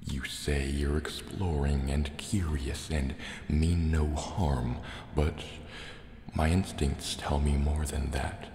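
Menacing sea serpent dragon, played by a man, soft ASMR delivery, slow and threatening, in a reverberant, echoing cave with water sounds. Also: a bass voice, fairly even intonation, heavily acted. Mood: suspicious, threatening. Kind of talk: soft-spoken ASMR roleplay. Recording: echoey cave reverb, faint noise. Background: water and cave ambience.

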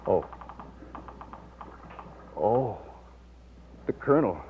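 Earnest young lover, scratchy vintage radio. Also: male voice, lowish voice, expressive. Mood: troubled. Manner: melodramatic, halting. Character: earnest, agitated young man torn by love and duty. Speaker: radio drama actor. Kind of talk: old-time American radio romance acting. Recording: scratchy old radio, narrow muffled band.

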